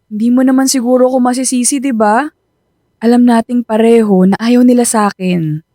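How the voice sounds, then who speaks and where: Soft, high-voiced anxious Filipina girlfriend played by a woman. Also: steady tone, brisk, dry recording, studio quality, natural voice. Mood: discouraged. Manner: hesitant, worried. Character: anxious, self-doubting young girlfriend, soft and devoted. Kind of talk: Filipino radio drama acting.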